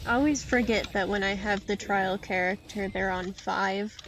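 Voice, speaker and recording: A woman's voice: medium pitch, fairly even intonation, normal speed, fairly bright tone, rough recording. A woman giving casual, offhand live commentary on a game she is playing, close to the mic. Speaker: game streamer.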